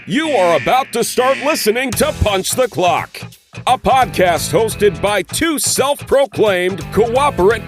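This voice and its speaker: Slow, high-pitched male announcer over guitar music. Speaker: podcast intro announcer. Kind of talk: mock-dramatic scripted warning announcement. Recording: music under the voice.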